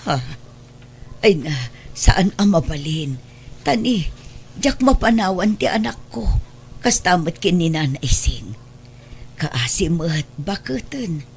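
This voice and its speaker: A woman's voice: lowish voice, big pitch swings, slow pace, pauses often, slight background noise, full character voice. A woman playing a poor, humble, anxious mother in a radio drama, plaintive and hesitant, with an Ilocano Filipino accent.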